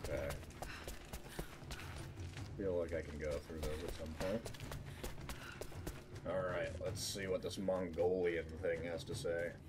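Low-voiced, unhurried male game streamer. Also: level tone, background noise. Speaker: live streamer. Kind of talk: casual gaming livestream chat.

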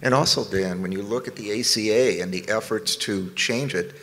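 A man on a conference panel, explaining in a measured way. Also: fairly low pitch, a bit bright, in a large hall, rough recording. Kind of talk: panel discussion. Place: conference ballroom stage.

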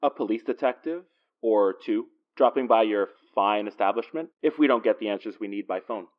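A man's voice: fairly low pitch, fairly quick, lightly acted. Guy acting as cocky joking cop in a horror podcast, dry and teasing, bit muffled audio. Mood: brisk, dry. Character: wisecracking, confident male police detective. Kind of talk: naturalistic audio drama acting.

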